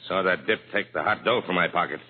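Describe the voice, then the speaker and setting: An actor playing a cold-blooded tough crook who gives clipped, commanding orders in underworld slang, in 1940s radio crime drama style. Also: male voice, low voice, quick pace. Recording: muffled, noisy old radio recording.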